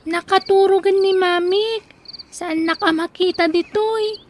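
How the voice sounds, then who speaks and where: A woman's voice: extremely high pitch, steady tone, measured pace, slight background noise. A woman playing a timid, hurting little girl in an audio drama, with a plaintive, childlike manner and a Filipino accent. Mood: timid, pleading.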